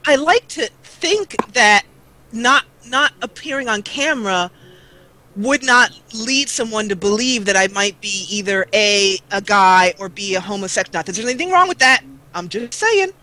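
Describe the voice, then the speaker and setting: A woman with a bright, forward voice chats in a relaxed conversational style. She speaks as a guest who makes gaming videos, and her tone has a clear edge to it. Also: normal speed, rough recording. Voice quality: low-voiced. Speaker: guest, gaming video maker and role-player. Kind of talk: casual chat.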